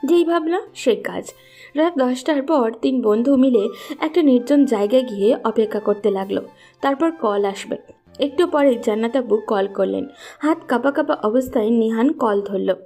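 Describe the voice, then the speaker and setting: A woman narrates a scripted story. Her voice is on the high side and mellow in tone. Gentle music runs faintly behind her. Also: moderate pace.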